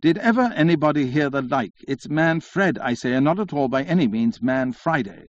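A man voicing a nosy, insistent society woman who butts in loudly and eagerly. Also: mid-range voice, animated, fairly quick, dry recording, muffled recording, natural voice. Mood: bossy, insistent. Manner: screaming, bawling, breathlessly eager.

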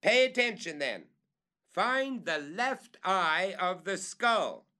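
A man voicing an excited treasure hunter who shouts orders up a tree in a raised, high voice. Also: slow pace, heavily acted. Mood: excited, commanding.

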